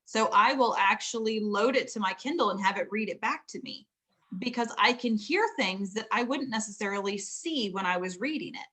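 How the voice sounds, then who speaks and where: A middle-aged woman, a fantasy author, chatting casually about writing, laughing often and filling pauses with ums. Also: mid-range voice, bright, forceful tone.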